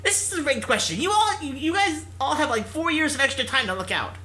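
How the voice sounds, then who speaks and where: A man's voice: very high-pitched, expressive, fairly quick, pushed and bright, voice pushed up, heavily acted. A man voicing a brash, indignant teenage boy gamer from a visual novel, whining and protesting with exaggeration. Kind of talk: visual novel character read-aloud.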